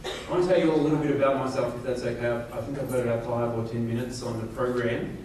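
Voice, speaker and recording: A man's voice: medium pitch, steady tone, a little mellow, slight background noise. Aussie guy in his 40s joking his way thru a stage speech, lots of ums, really echoey hall, sounds far off. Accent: Australian. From Australia. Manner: joking, self-deprecating, halting with fillers. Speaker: company executive giving a speech. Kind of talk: informal rally speech. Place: large event hall on stage.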